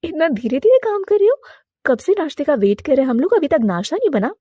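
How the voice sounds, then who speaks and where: A woman's voice: high-pitched, very animated, fast talker, warm tone, dry recording, pitched above the performer's own voice. A woman voicing an impatient young female sparrow taunting her sister-in-law.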